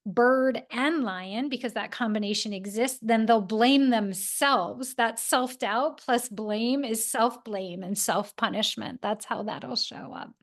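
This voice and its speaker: A woman hosting a podcast, explaining ideas in a casual, animated way. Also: a little high, slow pace, hardly any pauses. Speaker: podcast host.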